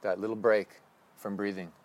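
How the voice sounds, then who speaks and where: A man leading a guided breathing meditation with slow, calm, instructive cues. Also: on the low side.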